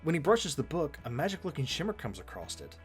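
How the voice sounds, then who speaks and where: Lively, slightly high male commentator. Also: fast talker, soft background music. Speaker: co-host of a fan commentary show. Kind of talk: scripted back-and-forth commentary.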